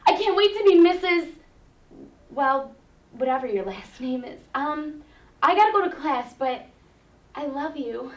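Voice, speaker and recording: A woman's voice: high voice, lively intonation, unhurried, long pauses. A young woman playing a giddy, obsessive, lovestruck college student who gushes eagerly in a stage skit. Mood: giddy, adoring. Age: young adult. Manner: gushing, eager. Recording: echoey room from far off.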